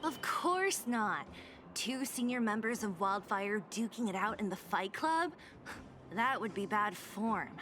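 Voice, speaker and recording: A woman's voice: medium pitch, expressive, a little slow, a bit bright, a little hiss or hum. A woman voicing a no-nonsense young female fighter in a video game, with a dry, sighing, exasperated manner.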